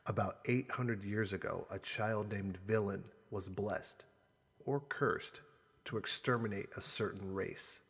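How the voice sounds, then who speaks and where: A man playing a grave, protective uncle in an audiobook, with a husky voice and an earnest, solemn manner. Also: fairly low pitch, unhurried. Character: grave, protective adult uncle, tender guardian revealing secrets. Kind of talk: audiobook character dialogue. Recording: voice in a long hollow reverb.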